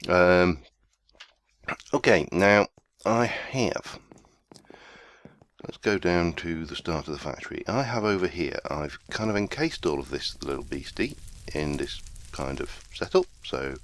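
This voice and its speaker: A man's voice: low voice, lively intonation, a little slow, fairly bright tone, slight background noise. A man hosting a casual let's play, talking through his gameplay in a rambling, self-deprecating way while chesty with a summer cold.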